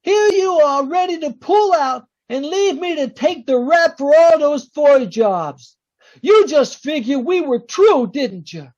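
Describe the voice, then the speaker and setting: An actor playing a vengeful, taunting crook in slangy 1940s radio style. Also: male voice, very high voice, expressive, unhurried, a little mellow. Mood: accusing, angry. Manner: slangy gangster talk, taunting. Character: menacing, double-crossed male crook, coldly vengeful. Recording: dull, lo-fi video-call audio.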